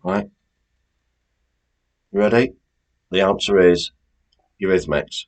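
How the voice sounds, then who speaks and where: A man with a British accent and a very deep voice hosts an informal quiz. He speaks very slowly, setting out each question in a chatty, unpolished style. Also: sing-song, gravelly. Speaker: quiz host.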